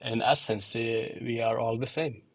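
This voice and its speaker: A young man from Iceland and Angola answering interview questions thoughtfully, with many fillers and pauses. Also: mid-range voice, fairly even intonation, breathy edge, dry recording. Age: young adult. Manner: hesitant, many fillers and restarts. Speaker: podcast guest, musician and designer.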